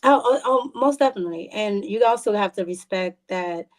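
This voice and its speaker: A woman from the Bronx talks as a guest on a podcast. Her voice sits at a middle pitch. She is casual and a little halting, doubling back on her words as she goes. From the Bronx, New York. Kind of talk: casual interview chat.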